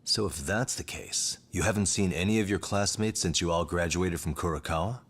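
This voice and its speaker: An actor playing a cool, persistent private detective in an English-dubbed game drama, questioning in a deliberate way. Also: male voice, low voice. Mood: probing, calm.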